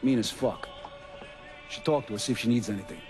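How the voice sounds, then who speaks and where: A man's voice: mid-range voice, fairly quick, close to an everyday voice. A man playing a crude, cold-blooded gangster in a cinematic game, clipped, offhand and profane. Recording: loud music behind the voice.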